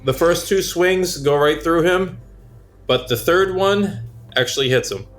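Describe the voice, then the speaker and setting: A man's voice: fairly high pitch, a little slow, a bit bright, faint music behind. A man running a tabletop game, narrating action and explaining rules in a casual, conversational way. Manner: informal, conversational. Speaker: game master.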